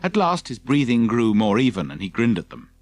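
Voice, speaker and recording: A man's voice: medium pitch, sing-song, a little fast, dry recording. A man reading scripted narration from a children's adventure story as an audiobook narrator.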